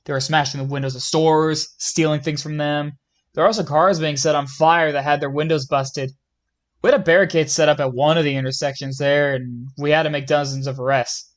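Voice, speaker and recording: A man acts out a police lieutenant being interviewed in an audio drama. His voice is fairly high for a man. He answers in a plain, matter-of-fact manner. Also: fairly even intonation, brisk, lightly acted.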